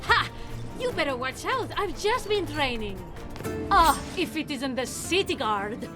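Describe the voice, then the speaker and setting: A bold young swordswoman in a video game. She speaks high and expressively, with teasing, theatrical confidence. Music runs clearly underneath. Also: sing-song, unhurried. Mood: cocky, taunting. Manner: self-assured, teasing, playfully dramatic. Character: cocky, daring young swashbuckling swordswoman heroine. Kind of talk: lively video game cutscene acting.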